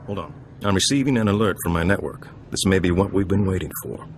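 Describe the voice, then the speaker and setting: An actor playing a suave, calm information broker in a video game, speaking in a measured, wry and courteous way. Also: male voice, low voice, slight background noise, lightly acted. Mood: serious, measured.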